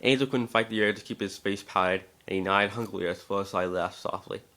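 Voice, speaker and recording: A man's voice: fairly low pitch, steady tone, a little fast, pushed and bright. A man narrating a story as an amateur dramatic reader, occasionally stumbling over the text.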